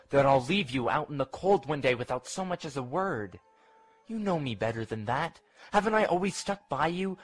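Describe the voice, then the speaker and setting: A man's voice: on the high side, close to an everyday voice, poor audio. A man playing a proud, insistent son and estate heir in a gothic audio drama, sounding self-satisfied.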